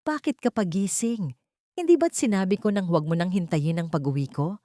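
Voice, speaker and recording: A woman's voice: on the low side, lively intonation, fairly quick, mellow, no room sound, lightly acted. Cold, scornful husband for audio story, woman narrator acting; disdainful, dry studio sound. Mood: cold, annoyed. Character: cold, contemptuous drinking husband, adult man.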